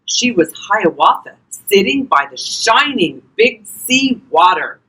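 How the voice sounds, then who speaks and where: An older woman reading a children's storybook aloud expressively, talking directly to young listeners in a husky voice. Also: mid-range voice, sing-song, takes it slowly, sharp tone, low-quality recording. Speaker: volunteer grandparent reading to children.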